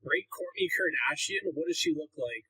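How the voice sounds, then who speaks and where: Young male streamer with a husky, slightly high voice. Blunt and rambling, working through chat questions one by one. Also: expressive, moderate pace, bright, forceful tone, low-quality recording. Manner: blunt, rambling, heavy use of "like". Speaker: livestreamer answering chat. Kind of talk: casual livestream Q&A.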